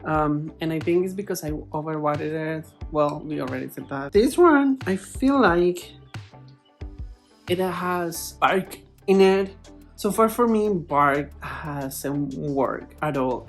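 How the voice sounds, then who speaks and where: A woman hosting a plant vlog, chatting casually to camera in a playfully dramatic, exclamatory way. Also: sits low. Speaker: plant vlogger, host.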